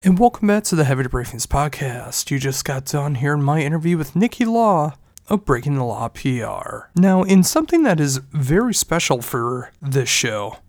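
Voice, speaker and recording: This is a male podcast host with a congested, stuffy nose. His voice sits a bit high for a man. He talks in a loose, rambling way with restarts, and the sound is close and dry with no room echo. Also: sing-song, normal speed, a little mellow. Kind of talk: casual solo podcast talk.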